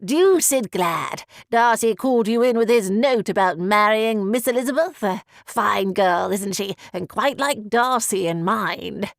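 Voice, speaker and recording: An eager, good-natured young gentleman, as a woman reader puts him on, drawling slowly and happily in a dry, close voice. He sounds affable and openly delighted. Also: mid-range voice, gravelly, no room sound. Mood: happy.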